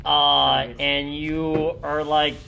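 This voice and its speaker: A man improvising narration as game master of a tabletop game, in an informal, halting, conversational way. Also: a little high, monotone, creaky, sharp tone. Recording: noisy room ambience.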